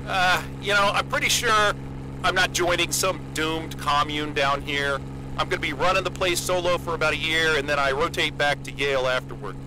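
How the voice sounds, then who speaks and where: A man's voice: a little high, fairly even intonation, moderate pace, natural voice. A man playing an easygoing, guarded, introverted scientist in a naturalistic audio drama. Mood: unbothered, easygoing. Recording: loud engine hum throughout. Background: helicopter engine.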